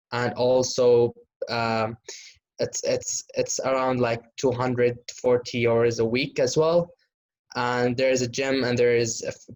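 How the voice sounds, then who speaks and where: A man's voice: medium pitch, low-quality recording. A young man from Syria, a student guest on a video call, who talks hesitantly and restarts his sentences often. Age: young adult. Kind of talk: casual chat.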